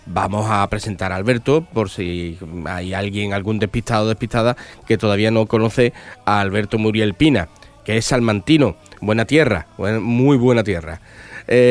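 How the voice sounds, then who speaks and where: Congested radio host with a cold. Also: male voice, mid-range voice, dry recording. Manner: hesitant, with many fillers and restarts. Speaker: radio book show host. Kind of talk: casual radio interview.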